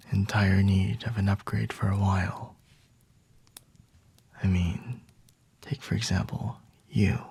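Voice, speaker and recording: Male actor as aloof, seductive vampire for ASMR roleplay: very breathy, unhurried, dry close-mic whisper, intimate and teasing. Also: lowish voice, no echo, full character voice. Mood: teasing, smug. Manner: slow, hushed, haughty and seductive, with lingering pauses. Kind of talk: ASMR roleplay, scripted monologue to the listener. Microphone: close-up microphone.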